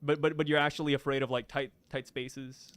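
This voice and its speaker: A man's voice: on the high side, level tone, brisk, fairly bright tone, no echo. A man co-hosting a casual anime podcast, talking loosely with frequent repeated words and fillers. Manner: stammering repeats, lots of fillers. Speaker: podcast co-host. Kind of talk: casual chat about anime.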